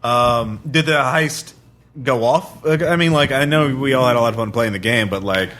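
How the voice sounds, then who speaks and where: Casual man, middle-pitched voice. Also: normal speed, fairly bright tone. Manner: informal, conversational, thinks aloud. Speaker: podcast host. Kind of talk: casual chat, discussing and questioning.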